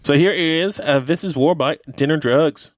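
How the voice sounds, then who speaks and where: A man's voice: a little high, moderate pace, slight background noise. A man hosting a radio show in casual chat, agreeable and brief in what he says.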